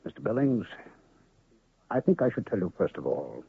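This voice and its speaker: A man's voice: low voice, sing-song, moderate pace, creaky, slightly rough, full character voice. An actor playing a mysterious male medicine man and illusionist in an old radio drama, speaking grandly and theatrically. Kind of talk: 1970s radio drama acting. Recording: lo-fi phone-band old radio recording.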